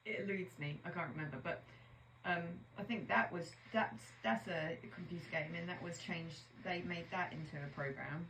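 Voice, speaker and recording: Low-voiced woman chatting in an echoey room. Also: normal speed, few pauses, a bit bright, slight background noise. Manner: chatty, teasing, trails off searching for words. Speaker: podcast co-host. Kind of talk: casual chat.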